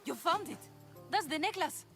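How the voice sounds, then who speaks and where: A woman playing a weary, sardonic female islander in a video game, with an expressive delivery. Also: extremely high pitch, normal speed, soft background music, exaggerated voice. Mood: excited relief. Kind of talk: video game dialogue acting.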